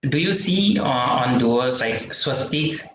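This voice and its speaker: A man's voice: mid-range voice, lively intonation, slow, a bit bright. A man with an Indian English accent practicing conversation on a video call, speaking hesitantly with many fillers. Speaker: English learner. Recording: muffled echoey room with noise.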